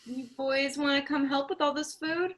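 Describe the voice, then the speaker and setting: A woman's voice: high-pitched, fairly even intonation, moderate pace, a bit bright. A woman reading the part of a wry, practical rural wife in a staged play reading. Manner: wry, chatty, laughs easily.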